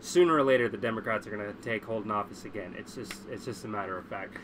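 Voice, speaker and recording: A man's voice: on the low side, fast talker, noisy background. A congested man chatting casually as a livestream host, blunt, opinionated and prone to swearing.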